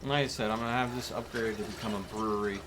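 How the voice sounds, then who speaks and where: A man live streaming a video game, chatting casually to viewers in an expressive, playfully mock-dramatic way. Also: fairly low pitch, fast talker, background noise. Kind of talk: casual gaming commentary to viewers. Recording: music behind the voice. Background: music.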